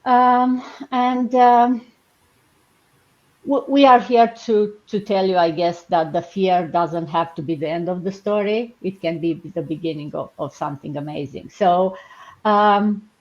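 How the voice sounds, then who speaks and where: A woman's voice: medium pitch, measured pace, darkish tone. A woman hosting a live online session over a video call, chatty, with fillers and restarts. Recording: muffled lo-fi video call audio.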